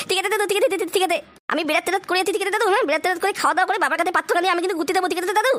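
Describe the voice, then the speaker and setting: Squeaky, rapid, bratty cartoon boy. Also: bright, forceful tone. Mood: appeased, eager. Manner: whiny grumbling, crude, repeated question tags. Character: grumpy, foul-mouthed bratty boy. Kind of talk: amateur comic cartoon dub.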